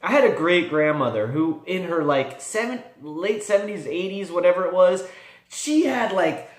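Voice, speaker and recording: A man with a fairly high voice talks in a casual, rambling vlog style. He thinks aloud with animation, and the room around him is noticeably echoey. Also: lively intonation, moderate pace. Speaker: tabletop gaming vlogger.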